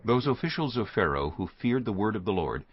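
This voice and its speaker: Low-voiced male scripture narrator. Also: big pitch swings, a little fast, breathy edge. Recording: phone-like narrow band, prominent music.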